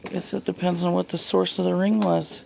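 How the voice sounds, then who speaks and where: A woman's voice: fairly low pitch, level tone, vocal fry, warm tone, a little hiss or hum, poor audio. A woman with a Southern US accent talks casually and folksily as she demonstrates a hands-on DIY job, filmed on a handheld camera. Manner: folksy, unhurried, murmuring along while working. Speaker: DIY vlogger.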